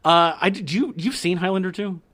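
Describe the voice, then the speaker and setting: A man's voice: high-pitched, brisk, a bit bright. A man co-hosting a podcast, reading facts from notes in casual chat with fillers. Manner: reads notes with fillers, laughs along. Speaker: podcast co-host.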